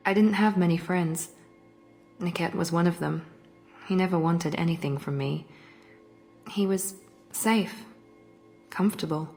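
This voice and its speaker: Guarded female agent, slightly low voice, composed and reserved, faint game score behind. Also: a little slow, long pauses, darkish tone, faint music behind. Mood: reserved, wistful. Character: cool, guarded human female operative, quietly grateful. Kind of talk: video game voice acting.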